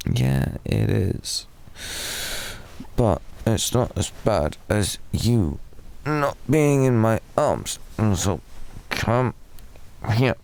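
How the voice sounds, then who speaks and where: A young British man improvising a sleepy boyfriend ASMR roleplay, softly rambling and slipping into whispers. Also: on the low side, very animated, drawn-out words, pauses often. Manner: soft, drowsy, rambling with slips into whispers. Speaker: ASMR performer.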